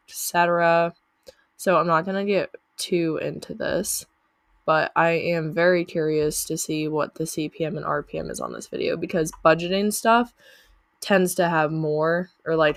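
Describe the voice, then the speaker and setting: A young woman with a low voice talks casually and chattily, padding her sentences with little fillers as she walks through her numbers. A lingering cough leaves her voice sounding slightly off. The recording is dry and close, with no room sound. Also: fairly bright tone, no room sound. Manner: chatty, uses many fillers like "like" and "um". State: lingering cough after illness. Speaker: YouTuber reviewing channel earnings. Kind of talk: casual screen-share walkthrough.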